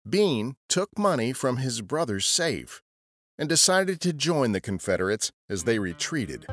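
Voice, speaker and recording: Male podcast narrator. Mid pitch, lively delivery, scripted historical storytelling, faint music. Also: no echo, studio quality.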